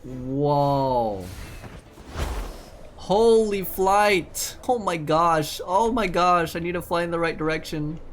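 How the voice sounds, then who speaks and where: A man's voice: a little high, takes it slowly, slight background noise. A young man giving casual, reactive playthrough commentary as a gaming streamer, full of short exclamations. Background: game music.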